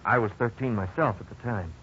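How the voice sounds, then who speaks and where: A man's voice: fairly low pitch, fairly quick, fairly bright tone, close to an everyday voice. An actor playing an earnest, boyish husband in his twenties in a 1950s radio drama. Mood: somber, reminiscing. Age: 20s. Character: upbeat young husband and father, advertising salesman. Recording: muffled, noisy 1950s radio recording.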